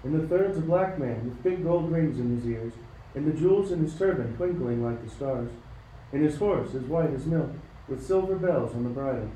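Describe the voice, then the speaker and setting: A man acting as an excited, wide-eyed young child in a radio play, eager and exclamatory. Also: on the high side, normal speed, lightly acted. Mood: awed. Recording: roomy, distant, faintly noisy recording.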